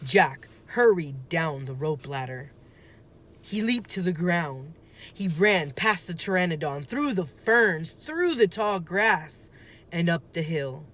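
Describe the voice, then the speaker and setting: Slow, lowish-voiced woman reading a children's story. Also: a bit bright. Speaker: teacher reading a book aloud. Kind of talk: expressive children's story read-aloud.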